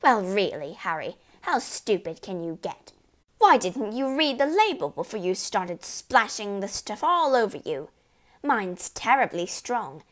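A woman's voice: mid-range voice, big pitch swings, a little slow, a bit bright. A woman voicing a scornful, scolding storybook mother, exasperated and apt to shriek at her husband.

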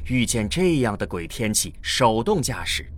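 A man's voice: on the low side, big pitch swings, moderate pace, a little hiss or hum. A man narrating a scripted science-fiction audio drama in a dramatic storytelling style. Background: faint background music.

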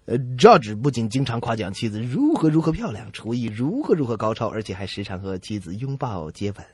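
A man's voice: mid-range voice, sing-song, brisk, darkish tone, slightly rough, dry recording. A man co-hosting a comedy radio show, telling jokes in a conversational way.

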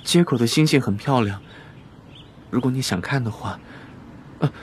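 An actor playing a shy, eager, soft-hearted young shop worker who speaks hesitantly in an audio drama. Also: male voice, medium pitch, normal speed, a little hiss or hum, close to an everyday voice. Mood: shy, tender. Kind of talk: Chinese audio drama acting. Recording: faint music behind the voice.

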